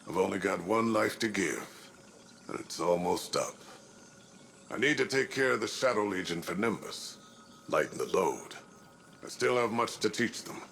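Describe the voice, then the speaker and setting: Deep, gravelly veteran hero. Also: male voice, a bit bright, a little hiss or hum, full character voice. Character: weary, kindly veteran augmented hero and mentor. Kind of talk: scripted video game dialogue.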